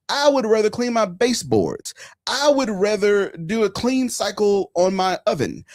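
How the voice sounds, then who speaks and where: A man of forty hosting a casual political chat, telling stories animatedly, swinging between loud and hushed. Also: high voice. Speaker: podcast host.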